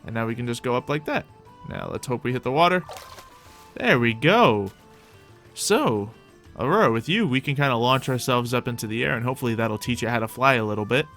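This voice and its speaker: A young man hosting a modded game roleplay, chatting casually as he plays and talks to his pet dragon, with soft music behind him. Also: medium pitch, animated, brisk. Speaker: roleplay gameplay host. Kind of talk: casual gameplay roleplay commentary.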